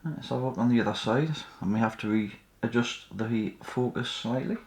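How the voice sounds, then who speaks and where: A man talks through a hands-on repair in a casual, tentative way, thinking aloud as he goes. His voice sits at a medium pitch. The room around him is noticeably echoey. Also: normal speed, darkish tone. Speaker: host of an electronics repair channel. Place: indoors at a repair workbench. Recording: roomy, echoey indoor sound.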